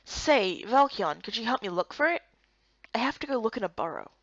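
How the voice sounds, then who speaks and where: A young woman doing an expressive, theatrical reading of a visual novel game as narrator and heroine. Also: a little high, fairly quick, no room sound, highs cut off. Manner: animated, theatrical. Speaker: voice actor narrating and playing the heroine.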